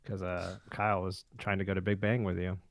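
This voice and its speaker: A man's voice: low voice, flat delivery, a little fast, gravelly, dry recording. A man co-hosting a podcast, bantering casually with friends and joking dryly. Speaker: podcast co-host.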